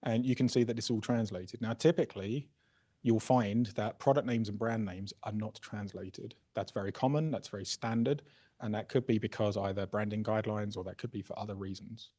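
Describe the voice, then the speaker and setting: A man presenting a software workshop, casually explaining a live demo and often checking in with "right?" and "okay?". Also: lowish voice, fairly even intonation, fairly quick. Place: workshop room.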